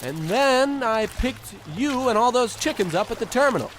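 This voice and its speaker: A man's voice: very high-pitched, lively intonation, moderate pace, noisy background. A man playing a pompous, self-absorbed, insulting adventurer in an old-time radio comedy. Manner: pompous, bossy, theatrical.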